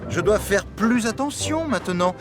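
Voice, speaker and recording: A man's voice: sits high, lively intonation, moderate pace. A man playing an anxious, earnest young locomotive in a French children's cartoon. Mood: worried. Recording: loud music behind the voice.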